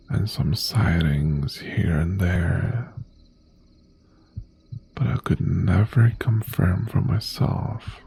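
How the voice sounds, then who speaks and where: Man acting as possessive mafia ex-boyfriend, dark mellow tone, crawling pace, breathy gravelly murmur, softly menacing, intimate ASMR roleplay. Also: low-pitched, a little hiss or hum, exaggerated voice. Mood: quiet menace. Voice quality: gravelly, breathy half-whisper. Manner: slow, deliberate, softly threatening. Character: obsessive, menacing male mafia boss ex-boyfriend, possessive yet tender.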